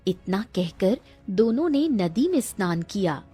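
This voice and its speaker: A woman's voice: mid-range voice, sing-song, unhurried, warm tone, husky, soft background music. A woman storyteller giving scripted narration of a fairy tale.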